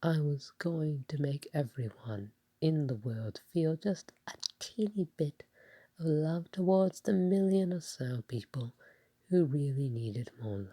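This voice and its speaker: A woman playing a bashful, doting god of love in an ASMR roleplay, speaking softly and breathily with a hesitant, tender, playful manner. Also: deep voice, unhurried, no room sound. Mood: gentle, sheepish. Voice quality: soft, breathy, partly whispered. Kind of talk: ASMR roleplay spoken to the listener.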